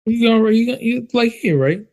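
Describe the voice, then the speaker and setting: A man co-hosting a podcast, chatting in a relaxed, slangy way with plenty of "like". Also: extremely high pitch, fairly quick, creaky. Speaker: podcast co-host. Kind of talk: casual chat.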